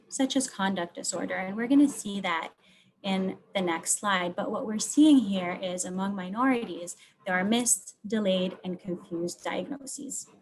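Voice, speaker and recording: A woman from Lebanon presents research as an academic, in a mid-range voice. She explains things in short, measured phrases. Key words get clear emphasis as she walks through her slides. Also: medium pitch, slight background noise, poor audio.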